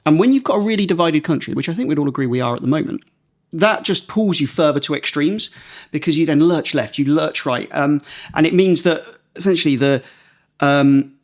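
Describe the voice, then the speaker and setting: A man hosting a political podcast, explaining things step by step in an informal, chatty way. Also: on the high side. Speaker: podcast host, political journalist.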